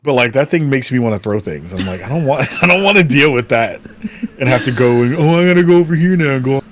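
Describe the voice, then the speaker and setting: A man in his forties hosts a laid-back podcast conversation in a mid-range voice. His talk is easygoing and quick to laugh. The recording is dry and close. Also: medium pitch, expressive, dry recording. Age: 40s. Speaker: podcast host. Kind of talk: casual chat.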